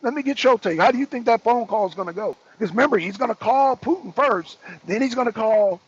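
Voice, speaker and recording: A man's voice: extremely high pitch, animated, brisk, slightly rough. A man hosting a political talk show, giving blunt, emphatic commentary and repeating phrases for effect. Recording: dull lo-fi audio.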